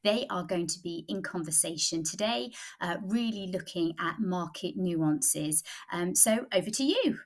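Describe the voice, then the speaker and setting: Woman, conference host, lowish voice, polite measured introduction. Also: lively intonation. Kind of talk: session introduction.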